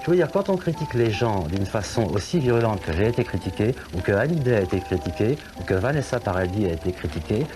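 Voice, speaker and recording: Deep-voiced man rambling through stories. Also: lively intonation, fast, few pauses, music in the background, poor audio. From France. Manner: rambling, anecdotal, with many hesitations. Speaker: singer guest on a TV show. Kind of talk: chatty interview talk.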